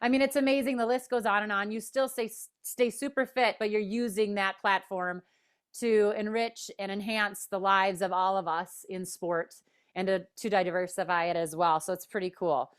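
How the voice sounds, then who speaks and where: A woman's voice: medium pitch, steady tone, smooth. A woman with a Minnesota accent hosting a panel conversation over a video call, upbeat and enthusiastic as she introduces her guests. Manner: upbeat, enthusiastic, quick restarts. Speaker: host.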